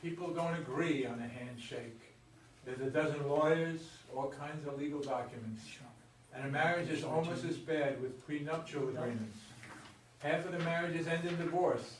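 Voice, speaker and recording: A man's voice: a little high, moderate pace, close to an everyday voice. Guy playing earnest plainspoken husband in a play, full of questions, distant roomy sound with some noise behind. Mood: disillusioned. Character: troubled married man, earnest, inquisitive baseball fan. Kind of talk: staged play reading. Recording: echoey room, distant mic, background noise.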